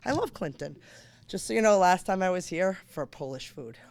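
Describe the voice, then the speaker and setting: A hoarse woman, speaking as a campaign surrogate at a candidates night in a chatty, self-interrupting way with informal asides. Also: fairly low pitch, animated, moderate pace, darkish tone, no room sound. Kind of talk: stump speech at a candidates night.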